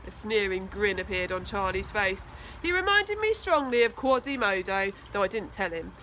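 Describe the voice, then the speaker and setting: Bright woman reading a story. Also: medium pitch, very animated, moderate pace, a little hiss or hum, poor audio. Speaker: school teaching assistant reading aloud. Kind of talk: expressive children's storybook narration.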